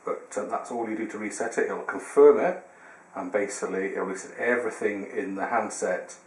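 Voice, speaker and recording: Low-voiced man giving a roomy tutorial. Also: brisk. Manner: hesitant, explaining step by step. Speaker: tutorial presenter. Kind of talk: casual product how-to demonstration. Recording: roomy, distant mic, faint noise.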